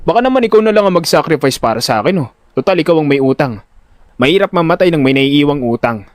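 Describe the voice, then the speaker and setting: Exasperated older brother played by a man: higher-pitched, very animated, comically indignant, audio drama. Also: fast, no room sound, lightly acted.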